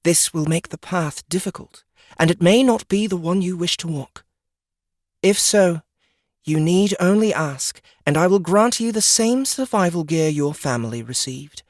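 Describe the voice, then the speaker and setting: Solemn commanding forest goddess, formal and slow to warm, fantasy audiobook, dry close sound. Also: female voice, on the low side, animated, no room sound. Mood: stern, testing. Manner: formal, deliberate, imperious. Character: stern, regal goddess of nature, solemn and commanding. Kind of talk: scripted audiobook character acting.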